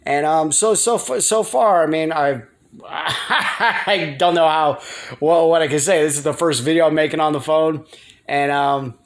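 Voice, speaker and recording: An American man speaks in a somewhat high-set voice. He rambles casually as a video blogger, hesitating often. He repeats words and circles back to what he was saying. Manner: halting, repetitive, trailing off and circling back.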